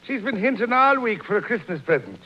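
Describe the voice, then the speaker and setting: A man's voice: high voice, sing-song, a little fast, pushed and bright, exaggerated voice. An actor playing a weary, wry Irish policeman fond of a drink, in old-time radio comedy. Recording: muffled old radio broadcast.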